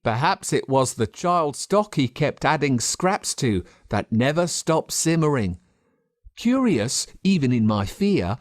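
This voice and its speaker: An Australian man reading a story aloud as a dramatic narrator, expressive and measured with telling pauses. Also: on the high side, no echo, clean studio sound. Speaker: audiobook narrator. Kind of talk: dramatic scripted storytelling in first person.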